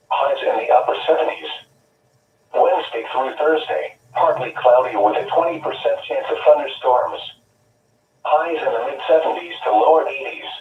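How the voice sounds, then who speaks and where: A man's voice: medium pitch, reverberant, far from the mic. A synthetic American male weather radio announcer reading a scripted forecast in formulaic, clipped phrases. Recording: tinny weather radio replayed across noisy room.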